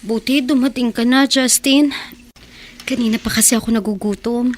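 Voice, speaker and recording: A Filipino actress playing a stubbornly hopeful teenage runaway wife in a radio drama. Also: female voice, fairly high pitch, steady tone, moderate pace, loud music behind the voice, close to an everyday voice. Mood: hungry, hopeful. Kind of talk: Tagalog radio drama acting.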